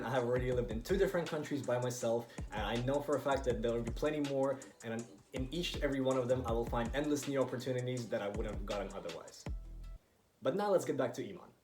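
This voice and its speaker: A young man from Estonia narrates a motivational video at a brisk clip. His delivery is earnest and emphatic, and faint music plays behind him. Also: medium pitch. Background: background music.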